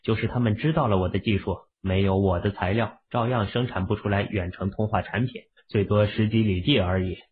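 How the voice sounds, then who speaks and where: A man's voice: fairly low pitch, a little fast, hardly any pauses, slight background noise, lightly acted. A man playing a self-assured, teasing young husband with a casual, bantering delivery in an audiobook. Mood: matter-of-fact, smug. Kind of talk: acted audiobook dialogue. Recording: phone-like, band-limited audio.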